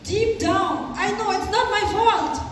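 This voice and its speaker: Distraught young female victim, woman acting it out, dramatic stage reading, extremely high pitch, washed in hall reverb. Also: a little slow. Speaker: student teacher performing in a readers' theatre. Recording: very echoey hall, distant mic, noisy.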